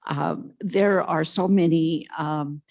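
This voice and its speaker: A woman with a low, loud voice gives testimony at a committee hearing, speaking slowly and stopping often on fillers. She talks into a table microphone in a legislative hearing room. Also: fairly even intonation, vocal fry. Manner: slow, halting, with many fillers. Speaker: health care association representative testifying.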